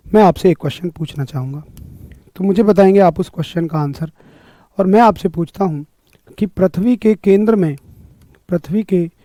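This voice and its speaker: A hoarse man leads a live exam-prep class, clearing his throat often. His voice sits fairly high for a man but sounds mellow and dark. The sound is dry and close, with no room echo. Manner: greets viewers by name, upbeat coaching. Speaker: online exam-prep teacher. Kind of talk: live-streamed physics class.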